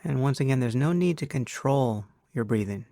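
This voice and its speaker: A man with a mid-pitched, noticeably creaky voice teaches a guided meditation. He gives measured, unhurried instructions and leaves long pauses between phrases. The recording is very dry, with no room sound on the voice. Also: animated, mellow, no echo.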